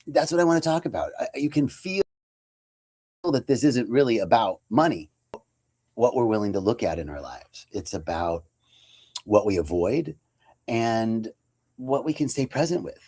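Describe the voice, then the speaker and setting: A man's voice: medium pitch, expressive, moderate pace, pauses often, a little mellow. A man giving a casual, conversational inspirational talk as a spiritual teacher on a computer webcam livestream. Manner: casual and conversational, with lots of fillers.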